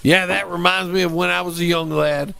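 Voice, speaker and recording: A man acts out a crotchety old uncle for a cartoon comedy. He has a creaky, gravelly voice pitched slightly high. He is sarcastic and bawdy, and the recording carries faint noise. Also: steady tone, a little fast. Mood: jovial, bawdy. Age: older. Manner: grumbling, sarcastic, crude. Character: cranky, lewd old uncle with a bawdy streak. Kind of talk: scripted animated comedy acting.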